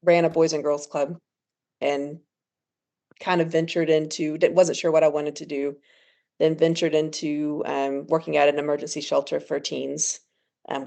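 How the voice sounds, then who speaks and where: Woman from ecuador in her 30s, low voice with a gravelly edge, chats and laughs a lot. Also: flat delivery, moderate pace, dark tone. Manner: chatty, laughs often. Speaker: guest counselor. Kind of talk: casual podcast interview.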